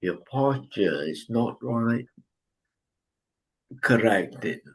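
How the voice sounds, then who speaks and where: A man leading a guided breathing meditation, giving calm instructions with long pauses. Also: medium pitch, poor audio. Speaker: meditation teacher.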